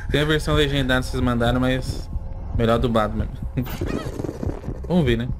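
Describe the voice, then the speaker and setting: A man's voice: medium pitch, normal speed, background noise. Slangy young Brazilian man reacting casually. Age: young adult. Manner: slangy, chuckling asides. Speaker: reaction video host. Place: small indoor gaming setup. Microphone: close desk mic with headphones.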